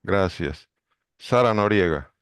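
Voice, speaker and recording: A man hosting a book club video call, briskly greeting each member. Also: deep voice, expressive, smooth, dry recording, clean studio sound. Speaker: book club director and host. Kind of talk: calling roll on a video call.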